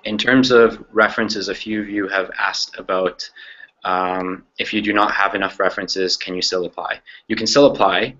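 A young man hosts an online information session from a small room, explaining details with many "um"s and restarts. His voice sits very low and stays level in pitch, with a bright edge. The video call audio sounds a bit dull. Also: fairly even intonation, normal speed. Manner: explanatory with frequent fillers and self-corrections. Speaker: program moderator. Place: small room with framed pictures.

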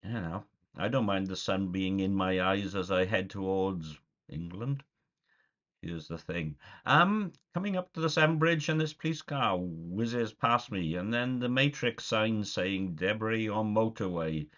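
A man's voice: fairly low pitch, animated, measured pace, a bit bright. Nasal man with a cold, lo-fi. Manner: chatty, rambling, filler-heavy. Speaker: vlogger. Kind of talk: casual video diary. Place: small dim room indoors. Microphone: webcam at desk. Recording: dull lo-fi webcam audio.

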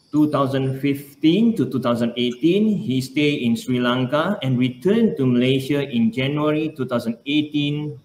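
A middle-aged man hosting a live stream, reading a formal introduction of the guest speaker, careful and halting. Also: medium pitch. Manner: slow, careful, with pauses and small slips. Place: room indoors. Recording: echoey room with faint noise.

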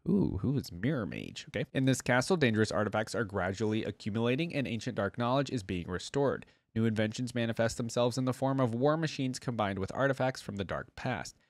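A man's voice: mid-range voice, expressive, a little fast, hardly any pauses, dry recording. A man hosting a reaction video, reading aloud and breaking off for casual, exclaiming asides.

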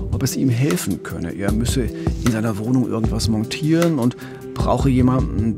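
A middle-aged man co-hosting a true-crime podcast, explaining cases in a measured, factual, journalistic way. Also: medium pitch, gravelly. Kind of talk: journalistic podcast storytelling in dialogue.

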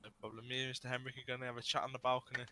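Man playing a fast-talking, prickly lawyer. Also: medium pitch, level tone, pushed and bright. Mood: businesslike. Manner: formal, argumentative, addresses people by title. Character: male lawyer, prickly, formal, defensive. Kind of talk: improvised roleplay in an online game. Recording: noisy online game voice chat.

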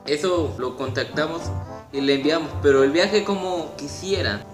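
A teenage male, speaking politely and haltingly, as if on a phone call. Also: fairly high pitch, music in the background. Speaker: YouTuber making a prank call. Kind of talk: improvised prank phone call, posing as a prize agent. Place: small room at home, indoors. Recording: roomy echo.

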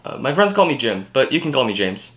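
A young man with a mid-pitched, bright voice plays the straight man in a comedy sketch, dry and matter-of-fact. He speaks from a couch in a living room. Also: very animated, fast, slight background noise. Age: young adult. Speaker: sketch actor.

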